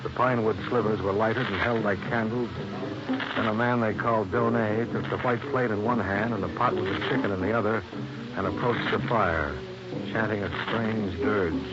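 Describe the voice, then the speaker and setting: A man's voice: on the low side, fairly even intonation, normal speed, lightly acted. An actor playing a tough, dry-witted investigator in a 1950s radio drama, narrating his case in terse, wry, hard-boiled style. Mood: grim narration. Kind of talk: 1950s radio drama acting and first-person detective narration. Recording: muffled old radio recording, loud music.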